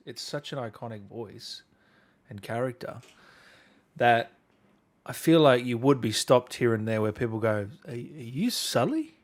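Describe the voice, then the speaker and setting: A man with an average-pitched voice acts as interviewer. He puts his questions in a casual, conversational way, like a host chatting with his guest. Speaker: interviewer, gaming channel host.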